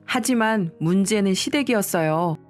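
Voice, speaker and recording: A woman's voice: medium pitch, expressive, moderate pace, faint music behind. A woman reading a scripted first-person confessional story as its narrator.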